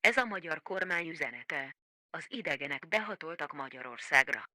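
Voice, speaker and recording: A man's voice: fairly high pitch, lively intonation, brisk, sharp tone, no echo. A man voicing a formal government emergency alert announcer in a scripted broadcast. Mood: urgent, formal. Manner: formal, official.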